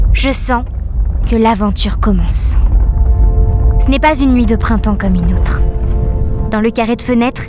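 A woman's voice: fairly high pitch, darkish tone, lightly acted. A woman playing a watchful, hopeful toy doll who narrates an audio drama in an earnest, poetic way. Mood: dreamy, expectant. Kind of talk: literary first-person audio drama narration.

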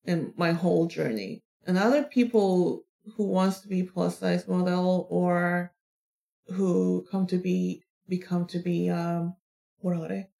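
A woman from South Korea with a Korean accent, a native Korean speaker answering interview questions casually and haltingly, searching for words. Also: on the low side, fairly even intonation, gravelly, mellow. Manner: halting, searching for words in second-language English. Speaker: interview guest, plus-size model.

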